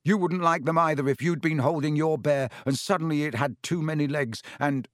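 A man voicing a blunt, defensive, squeamish schoolboy in a storybook reading, with a gruff manner. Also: a little high, fairly quick, no room sound, clean studio sound, natural voice. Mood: fierce, defensive.

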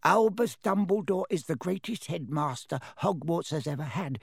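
A man voicing a squeaky, anxious male house-elf who pleads reverently and speaks of himself in the third person. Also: expressive, normal speed, creaky, slightly rough, no room sound, studio quality, full character voice. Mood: secretive.

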